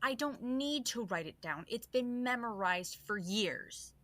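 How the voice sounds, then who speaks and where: A woman's voice: on the high side, expressive, unhurried, a bit bright, no echo. A woman playing a cocky, combative guard who talks with scorn in a fantasy audio drama. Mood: indignant.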